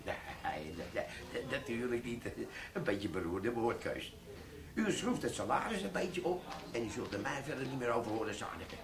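An actor playing a sly, boastful older army sergeant in a radio play, stammering now and then. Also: male voice, mid-range voice, sing-song, normal speed, reverberant, crowd noise. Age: 60s.

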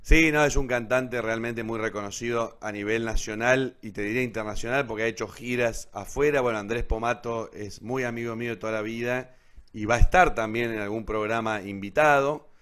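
A man's voice: medium pitch, steady tone, fairly bright tone, clear, resonant tone. Argentine guy hosting a live online show, chatty and rambling, stops and restarts a lot. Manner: rambling, halting, self-correcting. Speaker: host of an online radio theatre show.

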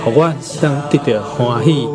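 A man's voice: mid-range voice, unhurried. A man with a Taiwanese accent, a pastor saying a solemn, pleading prayer. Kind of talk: spoken prayer. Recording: loud music under the voice. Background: loud music.

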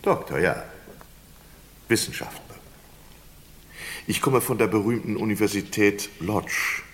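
A quietly proud, condemned man of science played by an actor in an old radio play. He speaks in a fairly low voice with formal, deliberate courtesy, over light noise. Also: lightly acted. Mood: calm, courteous. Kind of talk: vintage German radio crime drama acting.

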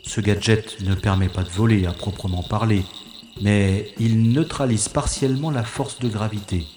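A man's voice: on the low side, normal speed, a little mellow, echoey room, a little hiss or hum, lightly acted. A man playing a wry, cocky secret agent. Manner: wry self-mocking narration. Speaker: first-person narrator-hero. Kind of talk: audio drama inner monologue.

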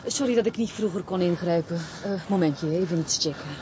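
An actress playing a guarded, composed intelligence agent with a Flemish accent, evasive and slightly condescending. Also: female voice, on the low side, brisk, background noise, close to an everyday voice. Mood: calm. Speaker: secret service agent. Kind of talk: television crime drama. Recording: dull lo-fi TV audio with faint ambience.

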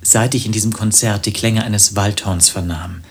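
Lovesick hypochondriac in a radio drama, lowish rough husky voice, flowery wry self-pity. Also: male voice, fairly even intonation, normal speed. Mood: plaintive, ornate. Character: lovelorn, self-pitying, witty male hypochondriac. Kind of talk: German radio play acting, literary monologue and dialogue.

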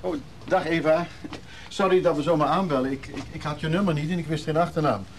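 An actor playing a gruff, guarded man who lives on a leaky boat, speaking colloquially in a naturalistic radio drama. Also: on the high side, fairly even intonation, fast, a little hiss or hum, lightly acted. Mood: awkward.

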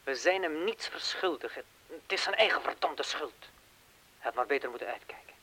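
Radio play crook, sharp voice, brusque, sarcastic, impatient, bit off mic. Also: male voice, on the high side, lively intonation, moderate pace, distant mic, a little hiss or hum, poor audio. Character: callous, impatient burglar, sarcastic and self-protective. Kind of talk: Dutch-language radio drama acting.

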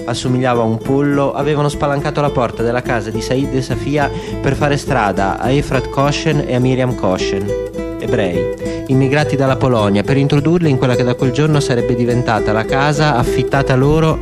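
A man's voice: mid-range voice, brisk, over loud music. A man giving a dramatic literary narration in a radio theatre style. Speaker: narrator, stage actor.

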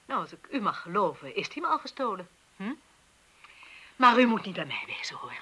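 A woman's voice: mid-range voice, very animated, brisk, a bit bright, breathy edge. An actress playing a haughty, self-absorbed mother and government official in a Dutch radio drama, snobbish and defensive, with a Netherlands accent. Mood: dismissive.

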